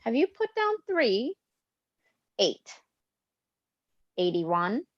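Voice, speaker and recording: Muffled call audio, woman acting glum young wife in old play, slow n deliberate. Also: a little high, huge pitch swings, dry recording, heavily acted. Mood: flat, businesslike. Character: unhappy, dour young married daughter. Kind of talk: cold read of a classic stage play. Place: at home on a video call. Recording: dull, lo-fi video-call audio.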